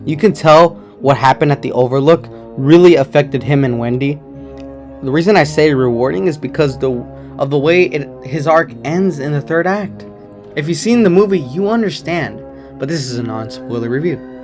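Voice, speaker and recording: A man with a slightly hoarse voice and occasional voice cracks, giving a chatty, rambling movie review at home. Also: a little high, moderate pace, darkish tone, faint music behind. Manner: chatty, rambling, enthusiastic opinions. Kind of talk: casual non-spoiler movie review.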